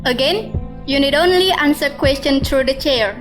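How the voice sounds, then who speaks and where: A woman's voice: high-pitched, over music, natural voice. A woman playing the formal, stern chair of a disciplinary hearing in a radio drama. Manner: formal, measured wording.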